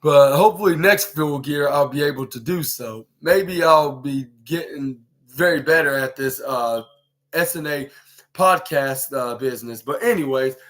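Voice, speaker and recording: A man's voice: fairly high pitch, unhurried, a bit bright. A man hosting his own podcast, recapping a show in a rambling way with many fillers and restarts. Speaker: podcast host. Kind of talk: casual solo show recap.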